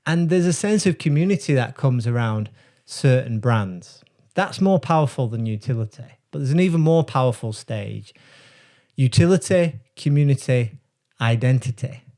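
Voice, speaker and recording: Man, brand strategist, warm mellow tone, reflective delivery, pauses to think. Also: medium pitch, lively intonation. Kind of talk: casual explanatory talk to camera.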